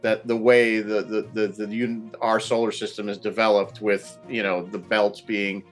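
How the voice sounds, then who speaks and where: A man speaking as a guest in a casual roundtable, halting and often repeating words. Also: lowish voice, flat delivery, faint music behind. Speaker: panel discussion guest.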